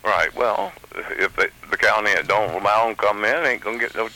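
A man with a very low voice and a very sharp, bright edge calls in to a talk radio show. He speaks plainly, with casual, everyday grammar, and takes his time making his point. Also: lively intonation, moderate pace, poor audio. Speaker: caller. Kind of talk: call-in radio conversation.

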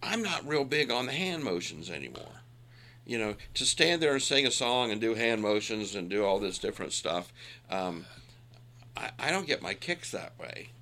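A man's voice: fairly low pitch, big pitch swings, moderate pace, sharp tone. A man in his sixties chatting casually with a co-host, folksy and self-deprecating, leaning into emphatic points. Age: 60s. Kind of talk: casual chat.

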